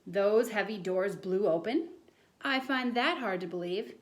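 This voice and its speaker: A woman's voice: medium pitch, expressive, unhurried, a little hiss or hum, lightly acted. A woman voicing a skeptical, stern schoolteacher from a storybook, disbelieving and scolding. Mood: incredulous.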